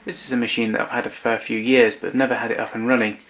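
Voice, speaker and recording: A man narrating a casual show-and-tell of his tape recorders, explaining step by step with pauses and fillers. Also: medium pitch, little pitch movement, a bit bright, a little hiss or hum, poor audio.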